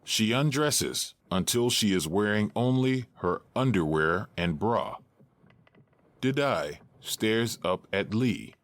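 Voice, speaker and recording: Deep, slow male narrator. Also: expressive, no echo. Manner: detached, matter-of-fact. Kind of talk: scripted narration of stage directions.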